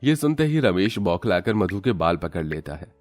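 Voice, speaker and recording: Male narrator for dramatic tales, low register, close dry recording, faint music bed. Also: big pitch swings, normal speed, no echo. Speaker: storyteller narrator. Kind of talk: scripted dramatic story narration.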